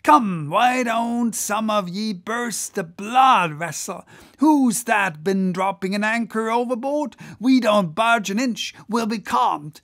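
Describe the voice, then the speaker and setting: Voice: mid-range voice, slow pace, gravelly, a bit bright, dry recording, clean studio sound, pitched above the performer's own voice, exaggerated voice. An audiobook reader voicing a boisterous, joking whaleboat mate who goads the crew in salty, exclamatory talk. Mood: rousing, goading. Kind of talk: animated audiobook character voice.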